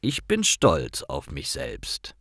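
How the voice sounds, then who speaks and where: A man reading simple scripted stories for language learners in a clear, deliberate storytelling manner. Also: rumbling low, huge pitch swings, fairly bright tone, dry recording, clean studio sound.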